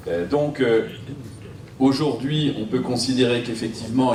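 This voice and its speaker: A man answering as a planning expert at a seminar, explaining off the cuff with a hesitant, halting delivery. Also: lowish voice, a little mellow. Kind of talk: spontaneous public explanation. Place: seminar room, recorded from a distance. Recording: roomy, distant mic, faint noise.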